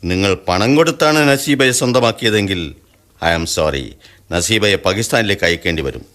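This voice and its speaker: A formal, apologetic official. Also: male voice, on the low side, lively intonation, fairly bright tone. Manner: formal, measured, mixes English apologies. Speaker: official from the high commission. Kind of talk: radio drama acting.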